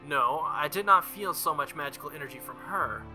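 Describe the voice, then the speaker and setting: A man voicing a formal, proud young woman knight with a calm, measured manner in a visual novel. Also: fairly high pitch, soft background music. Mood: solemn.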